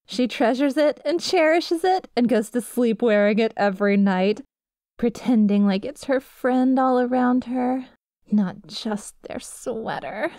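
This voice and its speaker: A woman's voice: a little high, a little slow, mellow, no room sound, lightly acted. Woman acting out a coy yandere girl, dry close sound. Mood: sly, smitten. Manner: coy, rambling, self-justifying. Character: lovesick, obsessive yandere best friend girl confessing through a story. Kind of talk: ASMR roleplay, conversational storytelling.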